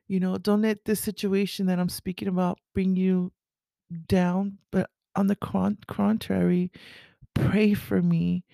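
A woman with a raspy voice speaking haltingly and earnestly in a confessional, faith-based podcast monologue. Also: medium pitch, mellow, no echo. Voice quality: raspy early-morning voice. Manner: halting, earnest, with throat clearing. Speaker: podcast host.

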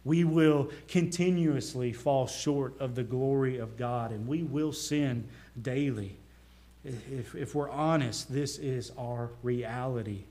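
A male pastor with a Southern US accent preaching a sermon, earnest, swelling into emphasis and dropping quiet. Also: medium pitch, takes it slowly. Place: church.

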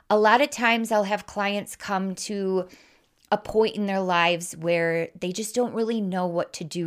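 Female podcast coach, Midwestern US accent, mid-pitched, slow-paced, informal and emphatic. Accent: American Midwestern. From Wisconsin, American Midwest. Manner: candid, informal, emphatic, casually sweary. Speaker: life coach, podcast host. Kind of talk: conversational podcast monologue, persuasive.

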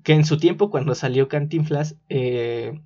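A man's voice: fairly high pitch, a little slow, darkish tone. A man with a Mexican accent, co-hosting a casual chat about a TV series. He laughs often and explains at length with fillers. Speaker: podcast co-host. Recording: dull lo-fi recording.

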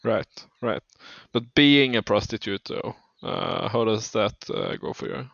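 A man chatting casually with dry, deadpan jokes. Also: lowish voice, a little slow, gravelly, dry recording. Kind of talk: casual chat. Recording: dull, dry lo-fi recording.

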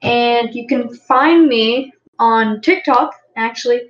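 A woman guest on a podcast speaks in an unhurried way and spells out words letter by letter. Her voice is a little high. The recording sounds roomy and dull. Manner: slow, spells things out carefully. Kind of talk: casual podcast chat.

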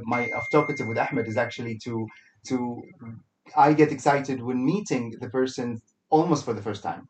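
Excitable man from Kuwait hosting. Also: medium pitch, slight background noise, poor audio. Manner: excitable, laughs often, repeats words. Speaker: podcast host. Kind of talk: casual interview chat. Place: studio.

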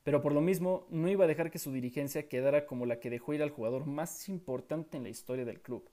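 Mellow sports commentator. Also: male voice, fairly high pitch, fast talker. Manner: opinionated, full of rhetorical questions. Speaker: sports podcast host.

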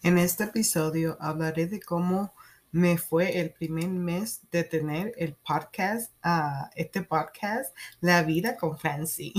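A Puerto Rican woman in her thirties hosting a solo podcast, speaking haltingly with fillers and soft laughs. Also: lowish voice. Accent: Puerto Rican Spanish. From Puerto Rico. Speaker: podcast host.

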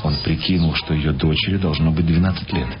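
A man's voice: very deep voice, fairly even intonation, a little fast. A man reading scripted literary narration in the manner of a radio drama. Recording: dull lo-fi radio sound. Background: music behind the voice.

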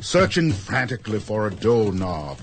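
Lowish-voiced male dramatic narrator. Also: very animated, moderate pace, music in the background.

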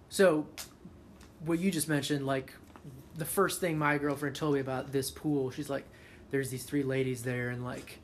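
A man from Chicago as a podcast guest, telling stories casually in a halting, profane way. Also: mid-range voice, steady tone, a little hiss or hum. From Chicago, USA. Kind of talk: casual conversational storytelling.

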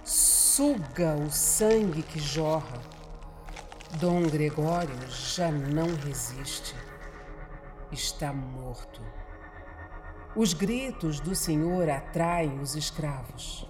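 A Brazilian woman narrates a scripted horror radio drama over prominent music. She takes her time, placing each phrase deliberately and dramatically. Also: low-pitched, lively intonation.

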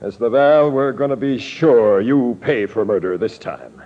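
A muffled old radio recording. A man plays a stern police detective of medium pitch, bearing down with accusations in 1940s radio drama style. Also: moderate pace, dark tone, close to an everyday voice. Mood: stern, accusing.

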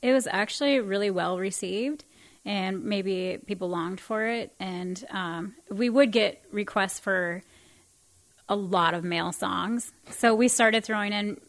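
A woman's voice: mid-range voice, unhurried. Friendly laughing woman singer. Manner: friendly, laughs easily, rambling. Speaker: guest, country singer. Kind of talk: casual interview chat.

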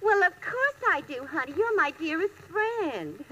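An actress playing a brassy, catty bride-to-be with crude wisecracks in an old-time radio comedy, gushing and calling everyone dearie. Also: female voice, extremely high pitch, big pitch swings, a little slow, bright, forceful tone, a little hiss or hum, full character voice, low-quality recording. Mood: brash, chummy. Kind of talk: 1940s-50s radio comedy acting.

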